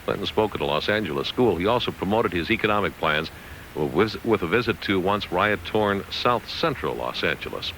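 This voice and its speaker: A man's voice: sits low, animated, moderate pace, a bit bright, slight background noise, low-quality recording. An American man anchoring radio news, reading scripted headlines and weather.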